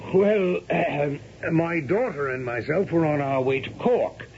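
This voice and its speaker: Gravelly, puzzled middle-aged father. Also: fairly high pitch, animated, measured pace, a little mellow. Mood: worried. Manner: hesitant, polite, faltering. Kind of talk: 1970s radio drama acting. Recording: dull, noisy vintage radio recording.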